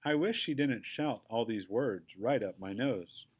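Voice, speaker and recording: A man narrates a children's story from a book. His voice sits at a middle pitch. He delivers the text in short, simple phrases as a storyteller. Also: lively intonation, unhurried, breathy edge, dull audio. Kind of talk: scripted narration, reading a children's story aloud.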